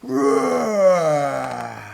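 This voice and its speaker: A man's voice: a little high, sing-song, extremely slow, creaky, voice pushed up, full character voice. A man voicing a big, earnest gorilla who bellows and roars in a children's storybook. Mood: roaring, heroic. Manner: bellowing, roaring. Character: big lonely gorilla, earnest, roaring hero.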